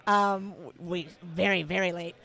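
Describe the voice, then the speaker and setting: A woman commentating a tournament livestream, slightly hoarse today, explaining strategy in a relaxed way with many fillers. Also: lowish voice, a little slow. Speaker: match commentator. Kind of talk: casual sports commentary.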